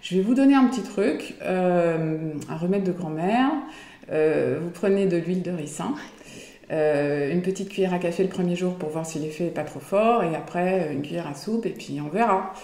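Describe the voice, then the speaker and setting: A woman's voice: fairly low pitch, moderate pace, dark tone, reverberant. A woman in her forties chatting in an interview, hesitating often as she talks. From Belgium. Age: 40s. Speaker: guest. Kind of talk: casual interview chat.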